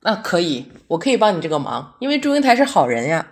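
一位女演员在广播剧里饰演闪送女快递员，说话口语化、直来直去，热心又有点较真。. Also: female voice, lowish voice, sing-song, fast. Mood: quirky, agreeable. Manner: colloquial, blunt, good-natured. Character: chatty, straightforward female delivery courier, earnest and quirky. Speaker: courier in an audio drama. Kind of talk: naturalistic modern audio drama dialogue.

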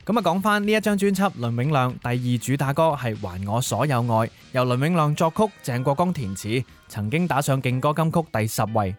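A man's voice: mid-range voice, lively intonation, brisk, hardly any pauses. A man co-hosting a radio music show, presenting songs and their history in a conversational way.

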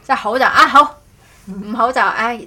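A woman co-hosting a casual podcast, telling stories in an animated, rambling way. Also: a little high, normal speed. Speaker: podcast co-host. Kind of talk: casual chat.

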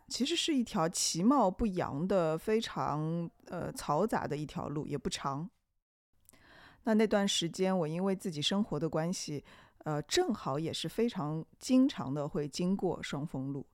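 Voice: mid-range voice, darkish tone, dry recording, clean studio sound. A podcast host talking about film in a reflective, conversational and explanatory way.

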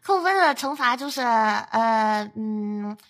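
A woman's voice: fairly high pitch, expressive, slow, a bit bright, a little hiss or hum. A woman co-hosting a podcast in playful, casual conversation. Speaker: podcast co-host.

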